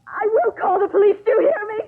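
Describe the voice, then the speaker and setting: A woman's voice: very high-pitched, animated, quick pace. An actress playing a frightened, outraged young woman in an old-time radio drama, protesting shrilly and emotionally. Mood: outraged, tearful. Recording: thin phone-like old radio recording.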